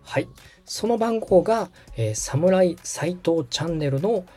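Male podcast host, instructional walkthrough. Animated pitch, soft dark tone, polite and orderly, music bed. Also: a little slow. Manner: polite, methodical explainer. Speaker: podcast host and radio director giving step-by-step guidance.

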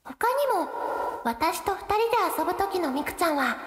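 A woman voicing a mild, easily flustered high school girl in a radio drama, with a girlish, hesitant, earnest delivery. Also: animated, moderate pace. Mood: earnest narrating. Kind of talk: Japanese radio drama acting with narration to listeners. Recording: noisy background ambience.

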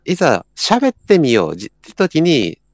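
A man with a Kansai accent chatting casually, thinking aloud in a halting way. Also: on the high side, huge pitch swings, darkish tone, dry recording, clean studio sound. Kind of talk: casual chat.

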